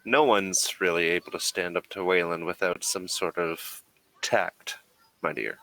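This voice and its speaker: A man voicing a persuasive, condescending corporate agent in a tabletop role-playing game, with measured, probing speech. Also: deep voice, fairly even intonation, fairly bright tone, low-quality recording.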